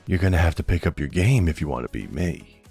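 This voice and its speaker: Man acting as suave middle-aged mob boss, very deep, gravelly, intimate, flirtatious, ASMR roleplay. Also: expressive, quick pace, a little mellow, faint music behind, heavily acted. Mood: playfully cocky.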